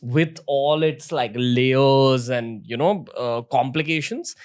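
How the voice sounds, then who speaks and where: A man from India with an Indian accent, hosting a podcast interview in a relaxed, teasing, conversational way. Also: medium pitch, takes it slowly. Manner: relaxed and teasing, with frequent fillers. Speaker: podcast host.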